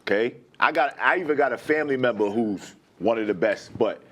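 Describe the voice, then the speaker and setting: A man in animated casual sports banter, speaking emphatically in slang and repeating himself for effect as a show panelist. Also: medium pitch, a little fast.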